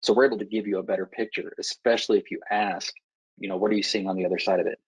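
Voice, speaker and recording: An American man working as an air traffic controller, explaining procedures in a measured, instructive way with deliberate pauses. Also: low voice, steady tone, breathy edge, dry recording, rough recording. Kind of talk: explanatory Q&A talk to pilots.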